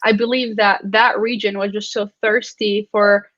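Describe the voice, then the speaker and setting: A woman's voice: medium pitch, flat delivery, a little slow, fairly bright tone, no room sound, dull audio. A young woman from Iraq with an Iraqi Arabic accent, earnestly telling her story as a panelist in an online panel. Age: young adult. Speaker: panelist, science communicator.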